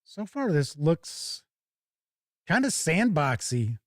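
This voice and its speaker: A man's voice: on the high side, big pitch swings, takes it slowly, dry recording, studio quality. A man hosting a tabletop gaming show, chatting casually and thinking aloud as he gives live first-look commentary.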